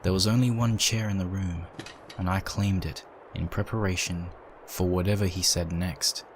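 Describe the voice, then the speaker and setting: A man with a deep, low voice narrates a dramatic first-person story. He reads from a script, with noticeable ambient sound behind him. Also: steady tone, normal speed, slight background noise.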